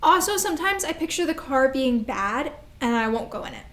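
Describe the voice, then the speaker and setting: A woman playing an anxious, confused little girl with a hesitant, halting delivery in a staged drama reading. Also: high-pitched, expressive, a bit bright. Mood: anxious, confiding. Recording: roomy, echoing stage recording.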